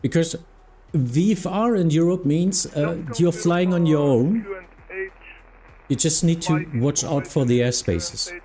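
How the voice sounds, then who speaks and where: A man from Germany with a German accent, speaking English as a second language, chatting casually with viewers on a live stream, often pausing to search for words and restarting sentences. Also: a little high, mellow. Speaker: flight sim streamer and air traffic control mentor.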